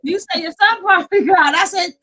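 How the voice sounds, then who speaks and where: A woman hosting a casual live panel stream over a video call, animated and laughing often. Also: very high voice, fast. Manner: animated, laughing, talks up a storm.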